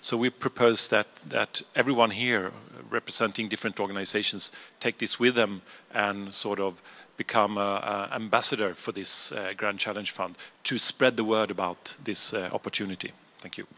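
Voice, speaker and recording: A man giving an impromptu readout for his group, halting and correcting himself as he goes. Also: on the low side, fairly even intonation, bright, forceful tone. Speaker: group spokesperson reporting back.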